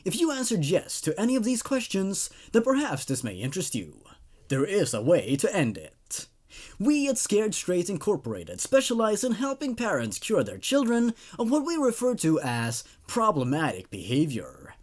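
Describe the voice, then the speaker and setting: A man voicing a slick, menacing company pitchman in an infomercial-style horror story. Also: huge pitch swings, normal speed, a little mellow, full character voice. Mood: salesman enthusiasm. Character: slick, menacing company pitchman selling child-scaring services.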